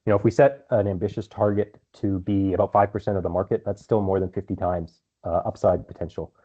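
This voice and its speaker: Very low, dark-voiced man on a conference call. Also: fairly even intonation, a little fast, breathy edge. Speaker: company executive answering investor questions. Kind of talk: earnings call answers, semi-prepared business talk. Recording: dull, band-limited conference call audio.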